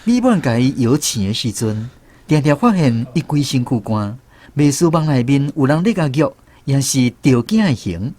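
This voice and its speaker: A man with a Taiwanese accent narrating a scripted radio drama in an expressive, dramatic way. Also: mid-range voice, moderate pace.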